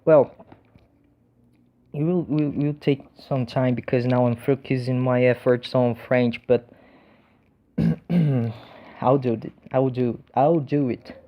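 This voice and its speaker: A young man talks slowly and loosely in English, stumbling over restarts and doubled words. His voice sits at a middling pitch, and the recording sounds dull and lo-fi. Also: fairly even intonation. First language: Portuguese. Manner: hesitant, many restarts and repeated words. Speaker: language learner sharing tips. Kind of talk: casual talk to camera.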